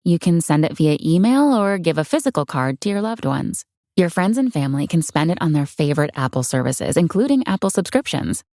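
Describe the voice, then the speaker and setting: A woman's voice: low voice, sing-song, brisk, mellow, no echo, studio quality. A woman reading scripted advertising copy as an announcer. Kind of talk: scripted ad read.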